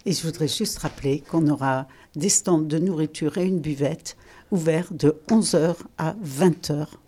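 Female festival organiser on radio, informative talk, deep for a woman, warm dark tone. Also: breathy edge.

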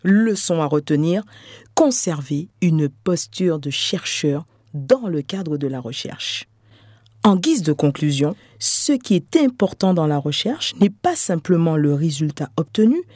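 A middle-aged woman, a researcher, giving a measured, scripted podcast narration. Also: on the low side, lively intonation, darkish tone, no room sound, studio quality. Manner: measured, storytelling delivery. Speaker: researcher and lecturer.

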